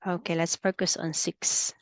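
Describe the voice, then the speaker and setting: A woman's voice: on the low side, flat delivery, normal speed, no room sound. A woman with a Filipino accent tutors English in a one-on-one video call, explaining patiently and leaning on "okay" as she goes. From Philippines. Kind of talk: online one-on-one speaking lesson.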